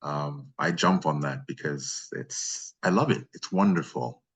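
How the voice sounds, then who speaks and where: A man with a very deep voice speaks as a guest in a relaxed interview. He is a voice actor who gives long, winding answers, generous with his appreciation. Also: unhurried, breathy edge. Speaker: freelance voice actor being interviewed. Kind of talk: casual interview chat.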